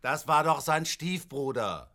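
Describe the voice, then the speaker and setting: A man's voice: on the high side, expressive, measured pace, pushed and bright, heavily acted. A man playing a tipsy, forgetful bandsman in a comic radio play, rambling, repeating himself and contradicting stubbornly. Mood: flustered, contrary.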